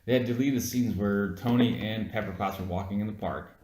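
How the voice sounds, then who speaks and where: A man's voice: fairly low pitch, a little fast, a little mellow. A man in a casual chat as an amateur reviewer, explaining things insistently and with some swearing. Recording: roomy, echoing room sound.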